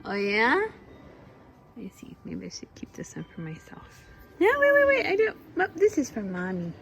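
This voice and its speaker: A woman in her thirties reading a storybook aloud in an animated, drawn-out way, breaking off to chat with young children. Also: medium pitch, vocal fry, a little hiss or hum. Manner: animated, drawn-out delivery with exclamations. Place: at home among young children.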